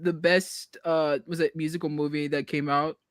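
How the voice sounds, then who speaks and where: A woman's voice: low-pitched, flat delivery, moderate pace, no echo, studio quality. A woman speaking informally as a panelist in a casual podcast discussion, giving her opinions. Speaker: podcast panelist reviewing a film.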